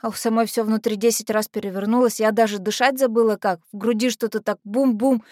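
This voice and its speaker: A woman's voice: a little high, steady tone, brisk, a little mellow, dry recording, clean studio sound, natural voice. A woman playing a brash, lovestruck teenage orphanage girl, telling her story in a dramatic monologue with slangy, naive, confiding speech. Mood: excited, anxious. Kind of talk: dramatic first-person monologue.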